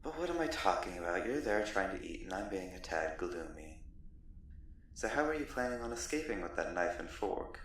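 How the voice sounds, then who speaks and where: A man playing a courtly, gloomy vampire captor in an ASMR roleplay, speaking in a genteel, condescending and softly threatening way. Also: sits low, big pitch swings, normal speed, recorded from a distance, full character voice. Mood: courteous, gloomy. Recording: roomy echo, off the mic, faint noise.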